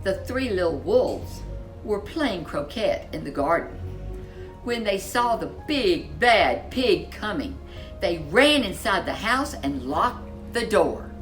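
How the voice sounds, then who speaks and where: A woman reading a children's story aloud as host, with expressive narration and dramatic, drawn-out emphasis on key words. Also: mid-range voice, soft background music.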